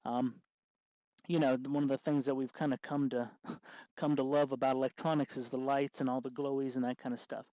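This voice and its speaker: A man hosting a casual hands-on DIY tutorial, explaining in a halting, unscripted way, with slightly muffled sound. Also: mid-range voice, flat delivery, no room sound. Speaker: host of DIY electronics tutorial.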